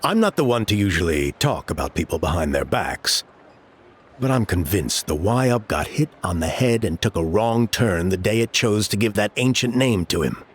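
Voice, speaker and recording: An exasperated tribesman grumbles sarcastically in a lowish voice, as a man plays him. His pitch rises and falls expressively, with faint game ambience behind him. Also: animated, moderate pace, slight background noise, lightly acted. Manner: exasperated gossip, sarcastic mimicry.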